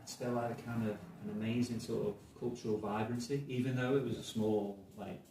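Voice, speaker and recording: A middle-aged man with a Liverpool accent, a podcast guest telling his story in a relaxed, rambling, thoughtful way. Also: medium pitch, brisk. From Merseyside, England. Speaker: podcast guest, arts director. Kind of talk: casual conversational storytelling. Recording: echoey room, mic at a distance, faint noise.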